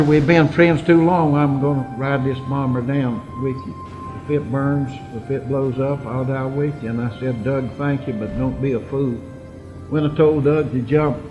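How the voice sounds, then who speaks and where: An older man, a war veteran, giving personal testimony in an earnest, deliberate way with dramatic pauses. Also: medium pitch, poor audio. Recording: music under the voice.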